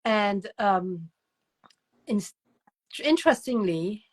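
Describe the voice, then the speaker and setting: An older woman giving conversational interview answers as a guest author, telling stories in an animated, digressive way with emphatic repetition. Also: medium pitch, slow pace.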